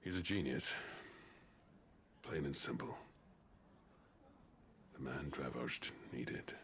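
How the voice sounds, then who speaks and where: An actor playing a blunt, grudge-bearing older blacksmith chief in a video game, with a gravelly voice. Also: male voice, very deep voice, takes it slowly, a bit bright, slight background noise, exaggerated voice. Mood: bitter, grudging. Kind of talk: dramatic video game dialogue. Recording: music under the voice.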